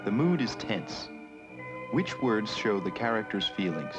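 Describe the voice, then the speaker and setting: A man narrating an educational film in a measured, instructional manner, with questions put to the viewer. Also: on the low side, very animated. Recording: muffled 1970s educational film soundtrack with music.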